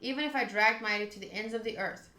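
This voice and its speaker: A woman's voice: on the high side, fast talker, bright, forceful tone. A woman streaming a game, reading the story aloud and adding casual commentary. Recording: roomy echo, faint game music behind.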